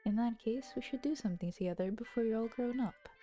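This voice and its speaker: A woman playing a gentle bookish boy. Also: on the high side, quick pace, soft background music, close to an everyday voice. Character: clever bookish boy, gentle older brother.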